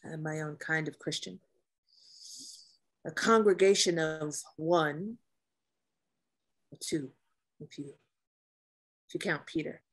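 A woman acting a guarded, masculine-presenting Navy officer in a table read of a drama, speaking in a dry, measured way. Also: deep voice. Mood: wry.

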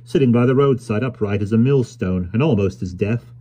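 A man voicing a hearty, plain-spoken carrier who talks loudly and chuckles. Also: fairly low pitch, brisk, breathy edge, voice pushed up.

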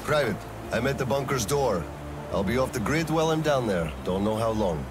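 An actor voices a middle-aged military father in a game drama. He is clipped and authoritative yet tender, with a very mobile intonation at an average male pitch. Music plays behind him. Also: lively intonation, fairly quick, lightly acted. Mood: businesslike. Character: gruff military colonel father, middle-aged, stern but loving. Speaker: father and colonel. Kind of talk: dramatic video game acting.

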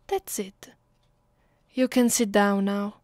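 A woman acting out a haughty vampire mistress with an Italian accent, speaking slowly and deliberately. She is domineering and cruel, giving orders in an intimate ASMR roleplay style. The sound is dry and close. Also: medium pitch, steady tone, darkish tone, no room sound, lightly acted. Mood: commanding. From Italy. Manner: commanding, deliberate. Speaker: voice actress. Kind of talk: ASMR roleplay, close and slow with whispered moments.

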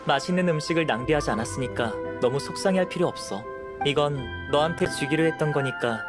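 A man playing a calm, curious young alchemist in an anime game, speaking in a composed, measured, politely detached way. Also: on the high side, natural voice. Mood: calm, matter-of-fact. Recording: studio voice over game music.

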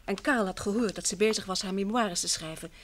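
A woman's voice: medium pitch, fast talker, slight background noise, close to an everyday voice. An actress playing a distraught, earnest wife in a vintage radio play, emphatic and sometimes hesitant as she insists. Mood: earnest, explaining. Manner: earnest, emphatic, hesitant and repeating herself when upset. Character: distraught, insistent wife convinced her missing husband lives.

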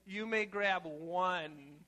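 A young man with a bright, forceful voice pitched well above most men. He talks to children at church in a casual, playful sermon style, animated and informal, with frequent exclamations. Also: drawn-out words. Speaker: children's message leader at church. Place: church sanctuary, seated on chancel steps among children.

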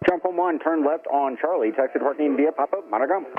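A man's voice: on the high side, fast, slightly rough, narrow, tinny audio. A man working as an air traffic tower controller, giving clipped instructions over an aviation radio.

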